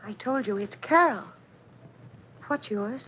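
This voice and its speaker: A woman's voice: on the high side, lively intonation, slow pace. An actress in a 1940s radio drama playing a caring, composed young woman with a patient, plain-spoken manner. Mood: concerned. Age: young adult. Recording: muffled, noisy 1940s radio recording.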